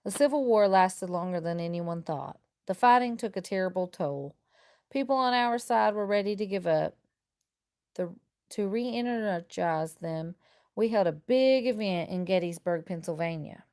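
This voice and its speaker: A woman reading a children's picture book aloud like a teacher, with expressive, deliberate and emphatic phrasing. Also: medium pitch, dry recording, clean studio sound. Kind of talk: expressive children's storybook read-aloud in first person.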